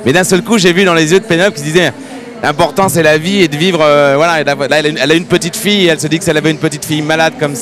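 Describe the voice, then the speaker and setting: A man's voice: a little high, fairly even intonation, rapid-fire. A man on a talk panel recounting a meeting animatedly into a handheld mic in a large indoor arena. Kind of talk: talk show chat. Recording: music behind.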